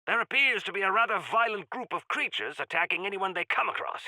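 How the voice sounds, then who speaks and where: A pompous yet genial game quest-giver, with a piercingly bright, forceful voice that swoops dramatically from phrase to phrase. He speaks in florid, courtly flourishes with dry asides, and the sound is close and dry. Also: a little high, dry recording, exaggerated voice, rough recording. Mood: wry, persuasive. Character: genial pompous male quest-giver of a mountain order, theatrically grateful. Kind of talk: theatrical video game character acting.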